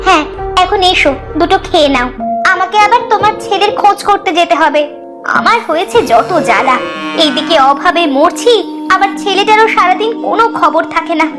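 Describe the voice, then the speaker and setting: A woman's voice: very high-pitched, animated, a little fast, music in the background. A woman playing a worried, scolding village mother in a cartoon, with a fretful, nagging manner. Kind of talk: cartoon voice acting.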